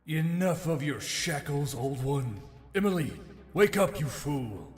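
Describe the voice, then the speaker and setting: A man voicing a scornful, commanding spirit in an audio story, with a rough husky voice. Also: on the high side, lively intonation, takes it slowly, faint music behind, exaggerated voice. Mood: scornful, urgent. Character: commanding, impatient spirit of a torque.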